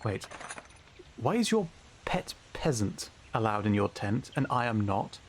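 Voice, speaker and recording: A man's voice: fairly low pitch, sing-song, measured pace, slight background noise. A man playing a smug, scheming, haughty knight in a fantasy audio roleplay, with a pompous, mocking drawl. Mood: feigned courtesy.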